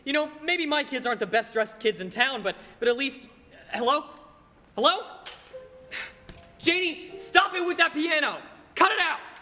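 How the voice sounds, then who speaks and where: A man's voice: very high-pitched, lively intonation, moderate pace, pauses often, sharp tone, full character voice. A young man playing a stammering, easily agitated family man in a radio play, hesitant and on edge. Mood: angry. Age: young adult. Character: frantic, stammering family man at breaking point. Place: stage in a school theater. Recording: reverberant hall with background noise.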